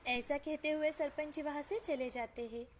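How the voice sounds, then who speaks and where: A woman's voice: high-pitched, fairly even intonation, moderate pace, a little hiss or hum. A woman narrating a scripted children's moral story as storyteller.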